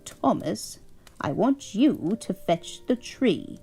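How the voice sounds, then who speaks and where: Woman putting on a gruff railway boss, stern, formal, unhurried. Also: mid-range voice, sing-song, dark tone, faint music behind, heavily acted. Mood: commanding.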